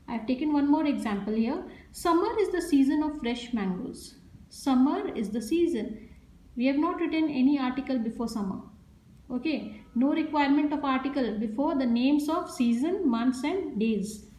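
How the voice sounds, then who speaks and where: High-voiced teacher repeating examples slowly. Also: female voice, warm tone. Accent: Indian English. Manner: explains step by step, repeats each example sentence. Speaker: school English teacher. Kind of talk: grammar lesson to a class. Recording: echoey room sound.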